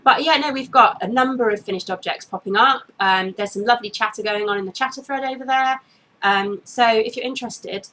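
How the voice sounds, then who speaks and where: A woman in her thirties talks casually to camera from her bedroom, a bit sniffly. Her voice is bright and clear, and she rambles off on tangents, apologizing with frequent ums. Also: medium pitch, poor audio. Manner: chatty, apologetic, goes off on tangents with many ums. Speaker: knitting podcast host. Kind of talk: casual video podcast chat. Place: bedroom at home, indoors.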